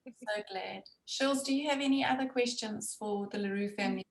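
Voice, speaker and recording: A woman interviewing a family for a homeschool program, asking questions and affirming their answers warmly. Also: mid-range voice, a little fast, vocal fry, reverberant. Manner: warm, affirming, laughs often.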